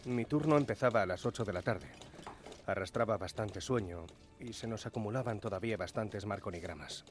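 An actor playing a weary, overworked ship's telegraphist recounting events in a radio drama monologue. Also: male voice, on the low side, brisk, soft background music, close to an everyday voice. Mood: weary, regretful. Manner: regretful, dramatic storytelling. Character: weary, overworked ship's telegraphist recalling his fateful night.

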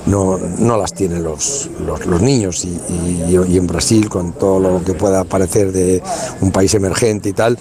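A man's voice: low-pitched, fairly even intonation, moderate pace, crowd noise. A man from Spain, organizer of a youth football tournament, talking in a casual radio interview, colloquial and full of restarts and tag questions.

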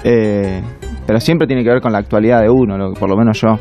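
A young adult man with an Argentine accent, a guest comedian chatting casually and telling deadpan anecdotes. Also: lowish voice, brisk, faint music behind. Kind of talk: casual chat.

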